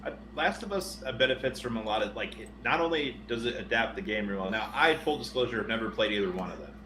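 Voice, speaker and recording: A man co-hosting a podcast, chatting casually about films and games in a rambling, animated, opinionated way with some swearing. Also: medium pitch, quick pace. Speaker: podcast co-host. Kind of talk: casual film and game discussion. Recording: roomy echo with background noise.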